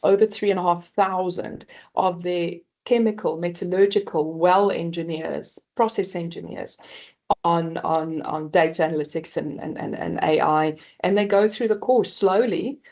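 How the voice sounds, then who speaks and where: South African woman, fifties, panel speaker, low-pitched, halting, lots of ums. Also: steady tone, a little mellow, dull audio. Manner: halting, many fillers and restarts; addresses audience as guys. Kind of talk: conference panel talk.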